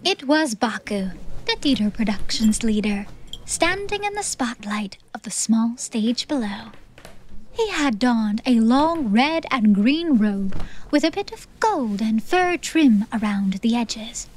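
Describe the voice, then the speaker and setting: A woman's voice: on the high side, animated, slow pace, a little mellow, slight background noise. A woman reading scripted, dramatic audiobook narration. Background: music.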